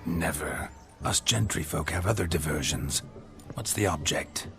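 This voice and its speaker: Deep-voiced roguish flirt. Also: male voice, measured pace, vocal fry, exaggerated voice. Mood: curious, teasing. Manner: smug, teasing, florid old-fashioned phrasing. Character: roguish, flirtatious gentleman reveller, cocky and grandiose. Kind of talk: video game cutscene acting.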